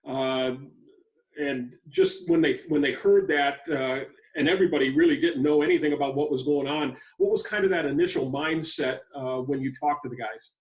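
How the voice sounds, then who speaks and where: A man co-hosting a sports podcast, asking conversational questions in a halting, roundabout way. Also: on the high side, far from the mic, rough recording. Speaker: podcast co-host, interviewer.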